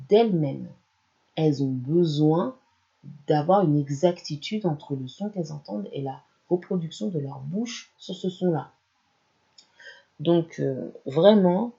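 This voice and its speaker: Gravelly, mellow French-speaking teacher. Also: medium pitch, dull audio. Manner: explanatory, addresses listener directly. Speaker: language channel presenter. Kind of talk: educational talk to camera.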